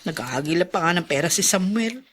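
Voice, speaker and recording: A woman's voice: fairly low pitch, expressive, brisk, fairly bright tone, husky. A woman playing a worn, worried mother in a radio drama.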